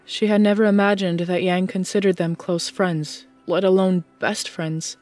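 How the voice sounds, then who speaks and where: A woman narrates a scripted audiobook story. Her voice sits a little low in pitch, and faint music plays behind her. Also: level tone, normal speed, darkish tone.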